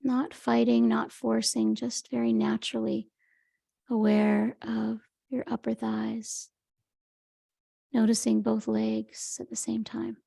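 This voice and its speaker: Woman meditation teacher: highish, very creaky voice, soft and breathy, gently soothing and unhurried. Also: monotone, no room sound, clean studio sound. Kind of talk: guided meditation, Yoga Nidra.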